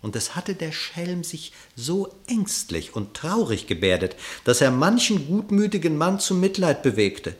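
A man narrating a classic verse fable in a radio play, measured and expressive. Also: on the high side. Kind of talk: scripted storytelling narration in verse.

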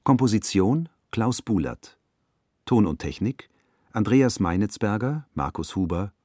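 A man making a formal radio announcement in a measured, factual way. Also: fairly low pitch, darkish tone, breathy edge, no echo, studio quality.

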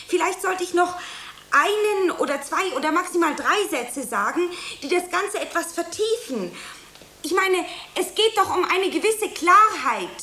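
A woman playing an eager, anxious young beginner actress in a radio play, earnest and theatrical, with dramatic pauses. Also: very high-pitched, sharp tone, heavily acted. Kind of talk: German radio play acting. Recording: roomy, faint hiss.

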